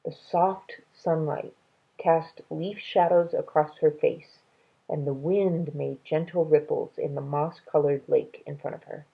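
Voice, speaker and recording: Slow, low, husky woman reading aloud. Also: fairly even intonation. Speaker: read-aloud storyteller. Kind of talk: reading a children's novel aloud. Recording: band-limited, tinny sound.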